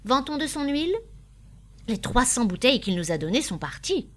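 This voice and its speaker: Storybook role: proud middle-aged shopkeeper husband, stubbornly hopeful, insistent, raised pitch, read by a woman. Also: very animated, moderate pace. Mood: brisk, evasive. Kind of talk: dramatised audiobook dialogue.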